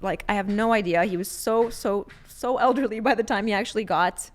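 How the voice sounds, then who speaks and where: A woman co-hosting a podcast in casual, unscripted chat, animated and informal as she thinks aloud. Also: mid-range voice, fairly quick. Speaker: podcast co-host.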